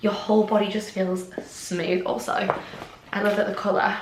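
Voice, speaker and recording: A woman's voice: medium pitch, level tone, moderate pace, noisy background. Bubbly snuffly young woman vlogging at home. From England. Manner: bubbly, rambling, lots of "like" and "oh my gosh". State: snuffly, a bit congested. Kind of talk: casual chatty vlog to camera. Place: home kitchen, indoors. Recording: echoey room.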